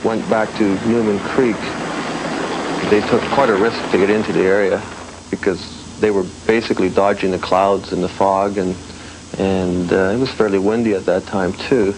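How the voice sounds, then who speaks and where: A man recounts a search for an interview. His pitch is fairly low. The old documentary tape has clear background noise. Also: fairly even intonation, moderate pace, low-quality recording. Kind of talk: interview recollection.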